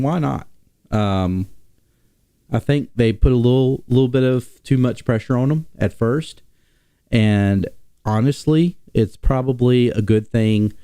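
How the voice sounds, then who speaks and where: Slow-talking man, stuffy nasal voice. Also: medium pitch, mellow, dry recording. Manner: rambling, halting, many ums and restarts. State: sick, nasal. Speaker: podcast host. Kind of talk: casual sports talk stream.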